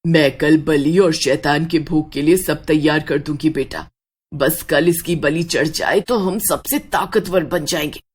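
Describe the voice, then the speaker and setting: A woman's voice: deep voice, a little slow, slightly rough, pitched below the performer's own voice. A woman voicing a sinister, scheming older mother in a horror story. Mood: sinister, conspiring.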